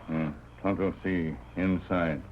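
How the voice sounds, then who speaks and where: A muffled, noisy old radio recording of a loyal scout. He talks slowly in terse, broken English, watchful and clipped. The voice sits very low. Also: level tone. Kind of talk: old-time radio western acting.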